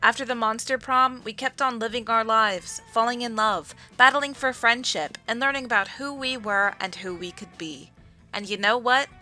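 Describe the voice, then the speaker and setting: A woman's voice: fairly high pitch, sharp tone. A woman reading scripted narration for a visual novel style video game dub.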